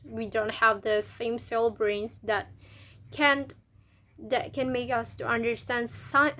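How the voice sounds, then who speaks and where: Young indonesian-accented woman chatting to camera, kinda high voice, lots of restarts. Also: fairly bright tone. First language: local Indonesian language. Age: young adult. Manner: chatty, hesitant, with many restarts and searching for words. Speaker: university student. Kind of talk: casual video talk to camera.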